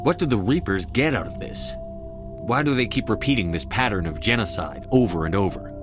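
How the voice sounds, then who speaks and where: A determined commander played by a man talks in a fairly low voice, blunt and demanding. The delivery is that of serious video game drama, with music clearly behind it. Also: animated, normal speed, close to an everyday voice. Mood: insistent. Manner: direct, demanding. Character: determined, blunt male military commander, adult.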